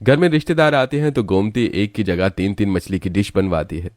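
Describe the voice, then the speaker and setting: Male narrator for animated family tales, low register, scripted storytelling delivery. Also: brisk.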